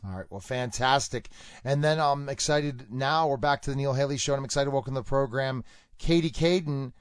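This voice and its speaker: A man hosting a radio show and interviewing guests by phone, with brisk, upbeat introductions. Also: medium pitch, clean tone, no echo, studio quality.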